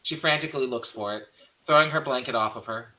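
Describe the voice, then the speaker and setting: A man narrates a horror screenplay, reading scene headings and action plainly. His voice is medium in pitch with a bright, forceful edge. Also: fast, highs cut off. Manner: plain stage-direction reading. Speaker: script narrator.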